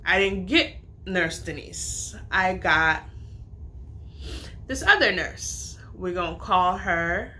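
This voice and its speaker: Low, bright-voiced woman telling a story. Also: animated, slow, slight background noise. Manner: chatty, retells talks with "I'm like" quotes. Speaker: vlogger and nursing student. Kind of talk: storytime retelling.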